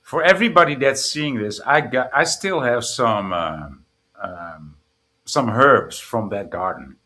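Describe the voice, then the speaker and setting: A livestream host with a bright, forceful voice at a middling pitch chats casually. The delivery is blunt and reactive, made for conversation with a friend. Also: expressive, measured pace.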